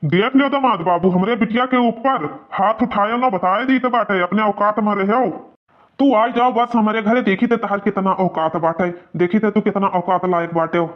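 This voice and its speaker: A man's voice: sits high, moderate pace, natural voice. A man voicing a quarrelsome, blustering village man in an Awadhi cartoon comedy, scolding in exaggerated dialogue. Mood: threatening, scornful. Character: blustering, quarrelsome village men of a feuding family. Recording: dull, lo-fi cartoon soundtrack.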